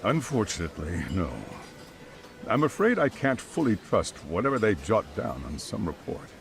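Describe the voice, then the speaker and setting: A man's voice: fairly low pitch, sing-song, moderate pace, creaky, slight background noise. An actor playing a polite, formal middle-aged private school chairman in a video game cutscene, speaking in careful, wordy sentences. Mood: measured, formal.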